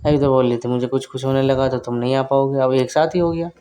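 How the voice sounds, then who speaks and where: A man's voice: mid-range voice, fairly even intonation, quick pace, mellow, clear, resonant tone, natural voice. A man playing a flirty brother-in-law who teases and coaxes in casual talk on a recorded phone call. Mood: teasing. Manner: teasing, coaxing, suggestive.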